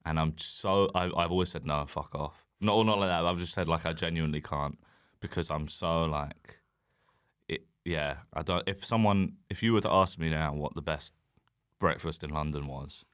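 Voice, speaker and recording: A man's voice: a bass voice, normal speed, a bit bright, clear, resonant tone, no room sound, clean studio sound. A young man, a comedian guesting on a podcast, chatting casually in rambling, self-mocking comic riffs.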